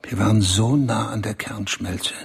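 A man's voice: fairly low pitch, fairly even intonation, a little slow, creaky, slightly rough, exaggerated voice. A man in a radio drama playing a self-satisfied bank chief who speechifies. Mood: smug. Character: smug male bank chief, proud self-made man.

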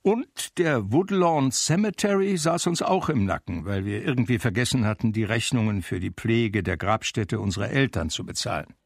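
Radio drama narrator: reflective brother, measured and dry-witted, husky low voice. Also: male voice, no echo. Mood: wry, deadpan. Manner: dry, measured, literary storytelling. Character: wry, reflective brother recalling his eccentric household.

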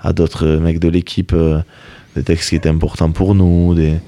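Young man, native Basque speaker, very low pitch, mellow dark tone, dry close sound, halting speech. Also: little pitch movement, dry recording. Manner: hesitant, many fillers and restarts. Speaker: rugby player, interview guest. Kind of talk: casual radio interview.

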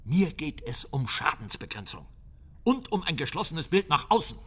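Casting: shrewd state leader doing damage control, medium pitch, bright forceful voice, lively emphasis, radio play. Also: male voice, normal speed, husky, exaggerated voice. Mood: forceful, calculating. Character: calculating senior male politician managing a scandal. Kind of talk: naturalistic radio drama acting.

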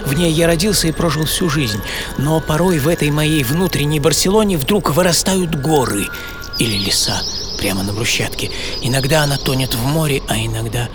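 An actor playing an eccentric, dreamy architect in his seventies in a theatrical radio monologue full of pauses. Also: a little high, close to an everyday voice. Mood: dreamy, wistful. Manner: theatrical, pausing, swinging from hushed to emphatic. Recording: studio voice over prominent music.

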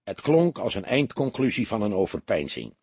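A male audiobook narrator with a very husky voice reads literary prose. His delivery is expressive, with lively pitch movement, and the recording is close and dry. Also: normal speed, no echo.

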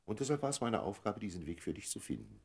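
A wise older gentleman diplomat in his fifties in a radio drama. His voice is low and husky, with very lively intonation. He speaks as a fatherly friend, in measured stage phrasing. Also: heavily acted. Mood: gentle, resigned. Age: 50s. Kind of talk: radio play acting.

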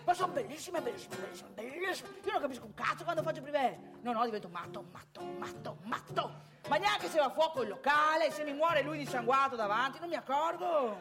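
Boastful, crude male club regular played by a woman, very high voice, emphatic and chummy, live comic theatre. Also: lively intonation, brisk, music in the background. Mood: raving excitement. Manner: repetitive, emphatic, chatty, addressing listeners directly. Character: excitable, crude, boastful male strip-club regular from provincial northeast Italy.

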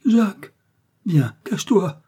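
A terrified peasant mother acted out by a male narrator from a storybook, pious and trembling. The voice is husky and lifts high in imploring exclamations. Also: animated, normal speed, dark tone. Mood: desperate.

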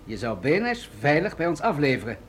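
Commanding general, faint background noise. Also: male voice, on the high side, sing-song, moderate pace. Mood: firm. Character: authoritative military general, insistent and businesslike. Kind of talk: Dutch radio drama acting.

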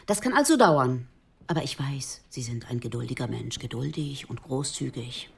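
An actress playing a controlled, tough police detective around forty in a radio drama, cool, pointed and dry. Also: female voice, extremely low pitch, lively intonation, moderate pace, creaky, a little hiss or hum, exaggerated voice. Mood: quietly threatening. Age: 40s. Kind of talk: naturalistic German radio drama acting.

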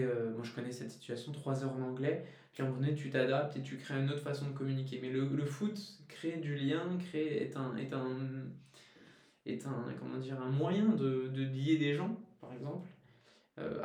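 A man's voice: mid-range voice, moderate pace, dark tone. A man hosting a casual interview, asking long, rambling, tentative questions. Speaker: podcast host, interviewer. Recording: echoey, distant from the microphone.

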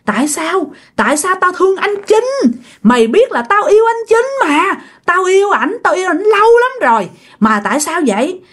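Woman playing jealous dead woman's spirit, Southern Vietnamese accent, high pitch, animated swings, bitter, accusing, repetitive, ghost-story telling. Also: husky, full character voice. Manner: accusing, repeats phrases insistently, bursts of menacing laughter. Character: vengeful, jealous female ghost possessing a woman, bitter and cursing.

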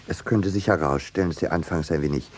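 An actor playing an urbane, coolly polite artist with dry, understated irony in a 1950s radio play. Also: male voice, low-pitched, fast talker, slight background noise, lightly acted. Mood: polite, ironic. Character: urbane, dryly ironic male artist, coolly polite schemer.

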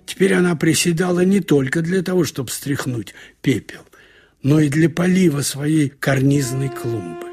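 A man narrating a short story in a conversational, wry way, with expressive asides, like a radio audiobook reader. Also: a little high, normal speed, slightly rough, faint music behind. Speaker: narrator reading literary prose.

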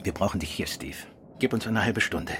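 An actor playing a suave, wry gentleman detective, courteous and in command, in a radio crime play. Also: on the low side, normal speed. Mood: calm, firm. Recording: clear vehicle noise behind.

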